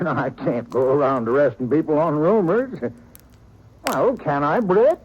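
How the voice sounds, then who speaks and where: An actor playing a folksy, evasive small-town sheriff in an old radio western, blustering and hedging with nervous geniality. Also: male voice, a little high, lively intonation, measured pace, husky. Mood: evasive, defensive. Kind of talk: 1950s radio western acting. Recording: dull, slightly noisy vintage radio recording.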